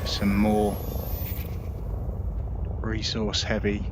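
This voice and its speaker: A man with a fairly low voice hosts a gameplay tutorial in a casual, easygoing manner. He explains as he plays, taking his time. Loud engine-like game noise runs behind him throughout. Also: monotone, very slow. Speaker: gaming video host. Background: space game sound effects and engine noise.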